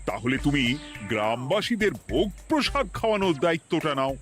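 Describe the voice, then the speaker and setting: A man's voice: fairly high pitch, big pitch swings, fairly quick, music in the background. A man playing a patient, fair king in a comedy cartoon, speaking with authority. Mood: commanding. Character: lordly king, patient and fair, giving orders.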